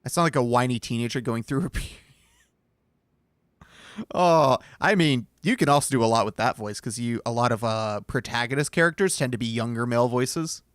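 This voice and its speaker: A man's voice: medium pitch, fairly quick, clear, resonant tone, no room sound, clean studio sound. A man streaming, chatting casually while he plays and reads the game's lines aloud, laughing and swearing now and then. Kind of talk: casual chat over a game.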